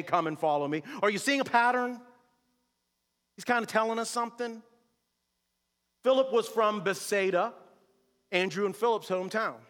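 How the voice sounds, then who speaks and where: Male preacher in a big echoey church, kinda high bright voice, goes from shouting to low and quiet. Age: adult with a wife and kids. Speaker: pastor. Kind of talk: sermon. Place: church sanctuary. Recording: long reverb of a large hall.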